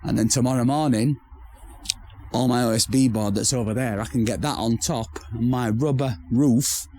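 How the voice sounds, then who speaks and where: A hoarse man who has nearly lost his voice, chatting casually to camera about his outdoor building project with rambling restarts. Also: medium pitch, normal speed, a little mellow, a little hiss or hum. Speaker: vlogger building a pizza oven shelter. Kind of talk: casual to-camera DIY chat. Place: outdoor building site in a garden.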